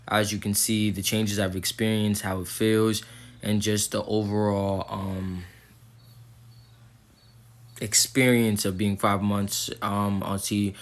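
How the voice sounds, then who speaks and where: A man's voice: on the low side, flat delivery, slow. A young man recording a casual vlog update, rambling with lots of ums and likes, his throat a little sore. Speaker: vlogger.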